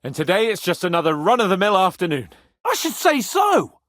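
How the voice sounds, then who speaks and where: An actor playing a brash, haughty young medieval nobleman in expressive, sardonic video game dialogue. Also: sits high, brisk, dry recording, studio quality, heavily acted. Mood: indignant, petulant.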